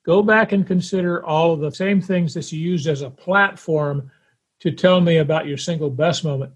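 A man in his seventies speaks as a podcast host. His voice is husky and a touch higher than average for a man. He talks conversationally, setting out his questions directly and deliberately. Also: fairly even intonation. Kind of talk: conversational interview.

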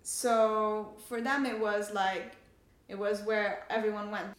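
A young woman, a native Farsi speaker who grew up in Canada and France, talks in a roomy, echoing space. She explains herself conversationally and articulately as an interviewee, at a medium pitch. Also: fairly even intonation, slow pace. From Canada and France, Iranian family. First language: Persian (Farsi). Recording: echoey room.

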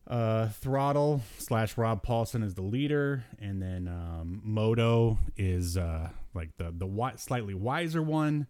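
Low-voiced man in casual podcast talk. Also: takes it slowly, hardly any pauses, darkish tone, clean tone, dry recording. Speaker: podcast co-host. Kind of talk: casual unscripted discussion.

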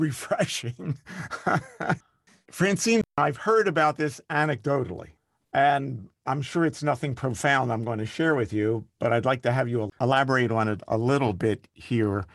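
A friendly man hosts an interview, building long, thoughtful questions and reacting with warm enthusiasm. His voice is recorded close and dry, with no room sound. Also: mid-range voice, dry recording. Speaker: podcast host. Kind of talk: interview hosting.

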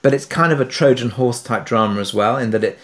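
A man speaking conversationally as an interviewed producer, halting and self-effacing, often rephrasing as he goes. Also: mid-range voice. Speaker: audio drama writer and producer being interviewed.

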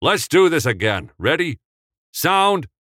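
A man voicing a commanding male film director who shouts orders on set. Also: fairly low pitch, sing-song, unhurried, no room sound, studio quality, pitched above the performer's own voice, heavily acted. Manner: barking, emphatic.

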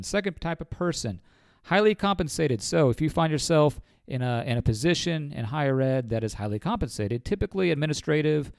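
A man's voice: fairly high pitch, darkish tone, clean tone, dry recording. A man in his forties with a cold, a financial advisor explaining retirement savings on a podcast in a folksy way, often calling listeners folks. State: has a cold.

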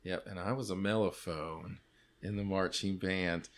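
A man's voice: low voice, steady tone, a little slow. A man in his forties hosting a casual podcast, joking loosely and laughing often as he leads the talk. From California. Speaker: podcast host.

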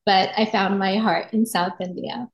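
A woman's voice: medium pitch, steady tone, moderate pace, muffled recording. A middle-aged woman with an American accent, telling her personal story in an earnest, articulate, reflective way as an interview guest. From Japan. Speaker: yoga and meditation instructor, podcast guest. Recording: roomy echo on voice.

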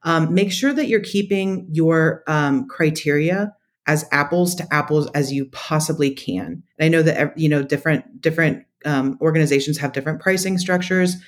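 A woman's voice: sits low, normal speed. A woman speaking as an interview guest, giving conversational, practical advice in a methodical, explanatory way. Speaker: podcast guest, data practitioner.

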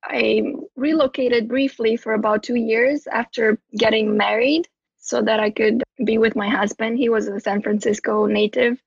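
A woman's voice: fairly high pitch, steady tone, normal speed, creaky, breathy edge, no room sound, dull audio. A woman, a therapist talking as a guest in an interview, rambling with many restarts. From Romania. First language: Romanian. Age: 30s. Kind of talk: conversational interview.

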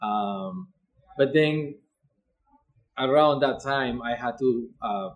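A man's voice: medium pitch, slow. A young man from Ecuador with an Ecuadorian Spanish accent, a seminarian earnestly telling his vocation story with fillers and repeated words.

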